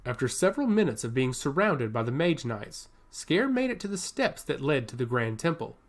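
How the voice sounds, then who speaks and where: A man narrates a fantasy tale as a scripted audiobook reading. His pitch is mid-range and moves up and down in a very animated way. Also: normal speed.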